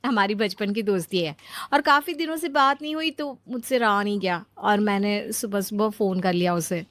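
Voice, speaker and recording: A woman's voice: medium pitch, normal speed, a bit bright, dry recording, lightly acted. A woman voicing a chatty young daughter-in-law in a cartoon story, coaxing and teasing. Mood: coaxing, eager. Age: young adult. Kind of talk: acted cartoon story dialogue.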